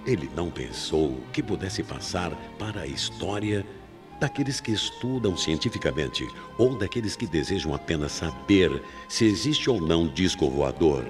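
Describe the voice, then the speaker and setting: A Brazilian man with an extremely deep voice narrates a radio mystery. His delivery is suspenseful and highly expressive, with music behind him. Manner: suspenseful, deliberate phrasing. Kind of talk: scripted dramatic mystery narration. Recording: 1990s AM radio drama with music bed.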